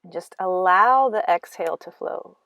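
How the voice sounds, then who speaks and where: A woman with a slightly low voice leads a guided meditation. She gives slow, gentle instructions and leaves long pauses between phrases. The sound is close and very dry, with no room echo.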